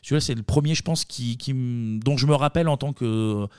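Guy hosting a podcast, talks super fast, jokey, dry close sound. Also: medium pitch, darkish tone, clear, resonant tone, dry recording. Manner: quick, joking, mock-alarmed asides. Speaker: podcast host. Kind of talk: casual chat.

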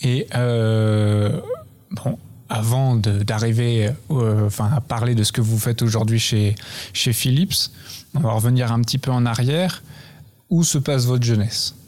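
Intervieweur de podcast, voix moyenne, informel. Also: male voice, medium pitch, steady tone, measured pace, a little mellow. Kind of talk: conversational interview questions.

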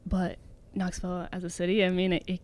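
A woman in her twenties, a swimmer being interviewed, talking casually with many fillers and quick laughs. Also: lowish voice, monotone, darkish tone. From Canada. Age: 20s. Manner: chatty, filler-heavy, laughs often. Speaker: guest, elite swimmer.

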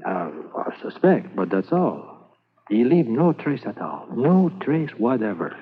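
An actor with a French-Canadian accent playing a calm, shrewd Mountie constable who speaks halting English mixed with French, in a 1950s radio drama. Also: male voice, mid-range voice, slightly rough. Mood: calm, thoughtful. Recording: tinny lo-fi old radio broadcast with hiss.

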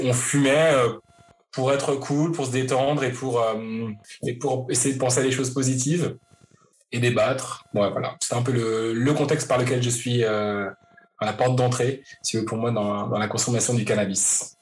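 40s guy from paris, halting, lots of uh and restarts, mid pitch. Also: poor audio. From Paris, France. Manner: hesitant, many fillers and restarts. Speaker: guest. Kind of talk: interview, personal story.

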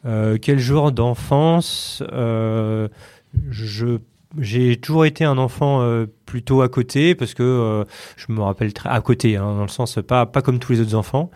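A man's voice: mid-range voice, steady tone, a little mellow. Hesitant Parisian man in his late thirties. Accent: Parisian French. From Paris region, France. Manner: hesitant, with many word repetitions and filled pauses. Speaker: podcast guest, company chief executive. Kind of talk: casual interview talk.

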